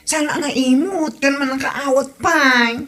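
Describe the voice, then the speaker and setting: A woman's voice: high-pitched, measured pace, a bit bright. A woman with an Ilocano Filipino accent acting a helpful, gossipy village friend in a comedy audio drama. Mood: encouraging.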